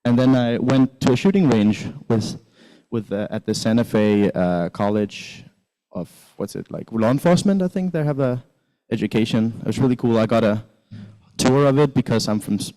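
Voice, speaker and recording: Teen guy from Sweden, chatty presenter, mellow dark voice, mid-pitched. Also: moderate pace, rough recording. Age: teens. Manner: chatty, rambling, many fillers and restarts. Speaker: exchange student presenter. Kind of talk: casual presentation with slides.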